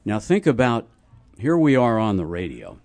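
An older man talking casually as a radio host, explaining things in a deliberate way. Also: fairly low pitch, wildly swooping pitch, darkish tone.

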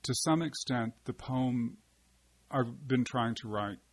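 A man, a poet, answering interview questions in a halting, reflective way, often pausing with fillers and restarting his sentences as he searches for words. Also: medium pitch, slightly rough. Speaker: poet and translator, interview guest. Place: seated at a table.

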